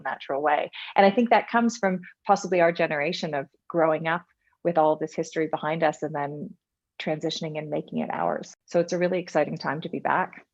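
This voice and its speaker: A middle-aged Canadian woman with a Canadian accent, telling her story as a podcast guest in a reflective, conversational way. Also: low voice. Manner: reflective, storytelling with frequent "you know". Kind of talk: casual conversational interview. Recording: muffled lo-fi audio.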